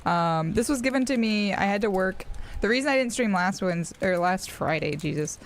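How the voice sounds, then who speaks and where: A woman's voice: mid-range voice, lively intonation, normal speed, slight background noise. A woman in her twenties from Australia, speaking with an American accent and chatting casually on a live stream, rambling with frequent fillers and laughs.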